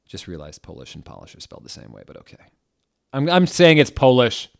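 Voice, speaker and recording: A man giving a mock-dramatic reading aloud, with deadpan, exasperated asides. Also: mid-range voice, brisk, smooth. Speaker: narrator doing a dramatic reading.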